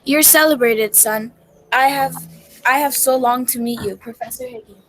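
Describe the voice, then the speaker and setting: A woman's voice: a little high, normal speed, a bit bright, a little hiss or hum, close to an everyday voice, rough recording. A female reader playing a polite, eager lady visitor in a stage play, reading somewhat hesitantly.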